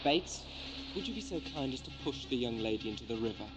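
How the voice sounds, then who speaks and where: A man's voice: medium pitch, big pitch swings, quick pace, music in the background, close to an everyday voice, poor audio. A man playing a cold, courteous plotter in an audio drama, speaking with formal, drily menacing precision. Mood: smug command.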